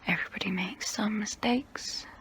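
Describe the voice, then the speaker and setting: A woman playing a tormented, confessional female vampire in an ASMR role play, whispering softly and breathily up close to the mic. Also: mid-range voice, close to an everyday voice. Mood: wistful, resigned. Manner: slow, hushed, deliberate, pausing between phrases. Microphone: close-up microphone.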